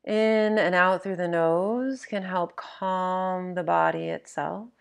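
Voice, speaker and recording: Woman, meditation guide, slightly low voice, very unhurried, gentle, measured, instructional sleep narration. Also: fairly even intonation, creaky, clean tone.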